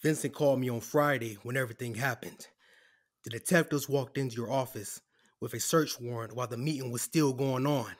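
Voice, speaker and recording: Guy acting as a calm formal lawyer in an audio drama, mid-pitched voice, apologetic but firm. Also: close to an everyday voice. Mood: matter-of-fact. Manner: measured, formal. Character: composed, apologetic corporate defense lawyer delivering bad news.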